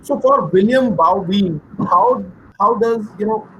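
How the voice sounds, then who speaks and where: A man with a notably high-pitched voice hosts a webinar, asking panelists short, direct questions. He speaks over a video call with a faint background hiss. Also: a little slow, fairly bright tone, low-quality recording. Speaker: webinar moderator. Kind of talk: moderating a webinar.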